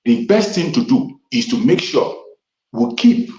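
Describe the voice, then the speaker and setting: Emphatic man with sweeping pitch. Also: sing-song, measured pace, creaky, darkish tone, roomy sound, highs cut off. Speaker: speaker on a live stream. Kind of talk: impassioned address.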